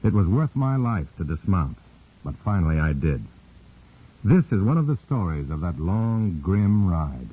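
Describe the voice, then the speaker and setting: A man's voice: low-pitched, sing-song, a little slow. An actor playing an undercover man posing as a communist, narrating and acting in a 1950s radio drama, earnest and clipped. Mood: grim. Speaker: narrator and lead. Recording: tinny old radio broadcast, faint hiss.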